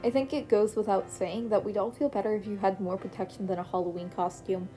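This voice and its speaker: An easily flustered teacher hero who huffs and protests at every move, as a woman reads the part. The voice is mellow and of middling pitch, close to an ordinary reading voice. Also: fairly even intonation, a little fast, soft background music, close to an everyday voice, low-quality recording. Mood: indignant. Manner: huffy, indignant.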